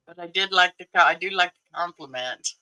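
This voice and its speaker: A man's voice: sits high, fairly quick, pushed and bright, clear, resonant tone, low-quality recording. A man in his sixties hosting a casual livestream, chatty and laughing often as he answers his viewers. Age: 60s. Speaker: livestream host.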